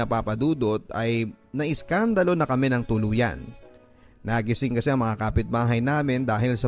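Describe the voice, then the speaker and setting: A man's voice: mid-range voice, lightly acted. A man voicing a betrayed, bitter young mother in a dramatic, emphatic radio drama narration. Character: betrayed young mother, wronged and bitter, telling her story. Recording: music under the voice.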